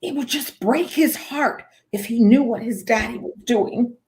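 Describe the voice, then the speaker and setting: An actress playing a gently disapproving wife and mother in a 1940s-style radio play, softly chiding. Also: on the high side, measured pace, close to an everyday voice.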